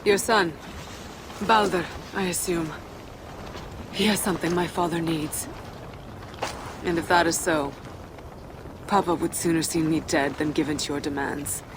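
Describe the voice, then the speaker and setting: An actress playing a haughty young fire-giant princess in a video game, sardonic and defiant. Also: female voice, low-pitched, steady tone, unhurried, long pauses, noisy background. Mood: scornful, defiant. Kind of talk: video game cutscene acting.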